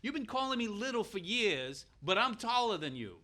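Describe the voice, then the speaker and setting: A man's voice: high voice, sing-song, normal speed, bright, forceful tone, full character voice. A man playing a burly, aggrieved, argumentative outlaw in a comic radio play. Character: big, burly, indignant male outlaw with hurt pride. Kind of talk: radio-play comedy acting.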